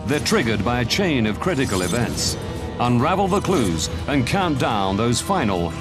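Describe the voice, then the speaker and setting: British man narrating with dramatic, animated delivery. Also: mid-range voice, normal speed. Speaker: documentary narrator. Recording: voice over very loud dramatic music. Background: loud dramatic score.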